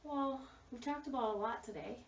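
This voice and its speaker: A woman acting as a school social worker in a counseling role-play, speaking gently and reassuringly with frequent fillers and affirmations. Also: a little high, normal speed, a little hiss or hum. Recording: distant, roomy, dull recording.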